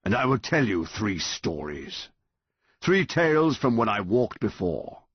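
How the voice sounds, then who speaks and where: A man voicing an ancient, towering male tree monster that speaks in a slow, grand, commanding way. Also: on the low side, sing-song, husky, no room sound, lo-fi sound, voice pushed up, exaggerated voice. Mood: stern.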